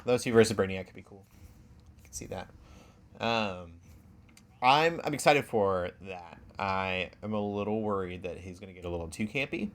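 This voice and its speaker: A man's voice: on the low side, normal speed, a bit bright. A man chatting casually as a podcast co-host. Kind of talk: casual chat.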